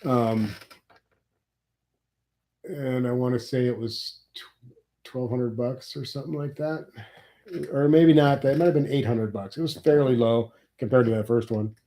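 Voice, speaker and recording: A man's voice: medium pitch, fairly even intonation, measured pace, long pauses, dark tone. A middle-aged man from Nebraska, sniffly from a cold, complaining wryly in a rambling live chat with his viewers. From Nebraska, USA. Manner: rambling, wry complaining about taxes. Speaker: livestream host.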